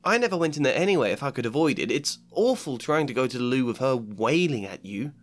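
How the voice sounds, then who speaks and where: A man's voice: medium pitch, very animated, lightly acted. A man voicing an anxious, bossy schoolgirl in a storybook reading. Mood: frantic. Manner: rushed, fussy and anxious. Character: a high, frantic, bossy schoolgirl.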